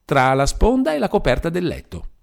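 A man reading aloud and voicing a lively, chattering young widow with a bright, teasing manner. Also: on the high side, brisk, gravelly, voice pushed up, full character voice.

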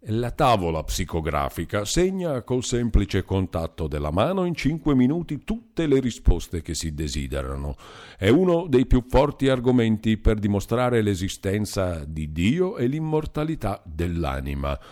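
A man's voice: on the low side, sing-song, normal speed, a little mellow. A man voicing a bearded charlatan doctor-hypnotist who talks in a pompous salesman's patter. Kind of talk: audiobook character voice.

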